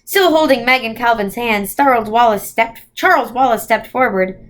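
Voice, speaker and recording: A woman reading a children's novel aloud as scripted, expressive storybook narration. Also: on the high side, normal speed. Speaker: volunteer storybook reader.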